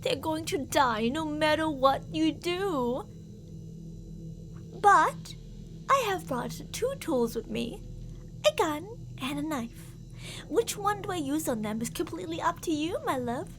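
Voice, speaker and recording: A woman's voice: high voice, animated, slow pace, long pauses, exaggerated voice. A woman playing an obsessively jealous, murderous stalker lover in an ASMR roleplay, theatrical and possessive, swinging between coaxing and menace. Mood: cold menace. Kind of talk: ASMR girlfriend roleplay acting. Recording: audible background noise.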